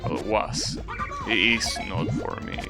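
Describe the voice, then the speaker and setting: A man's voice: on the low side, fairly even intonation, takes it slowly. A man giving casual live gameplay commentary as a streamer, often repeating words hesitantly and swearing offhandedly. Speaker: video game streamer. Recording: game music behind the voice. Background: video game music.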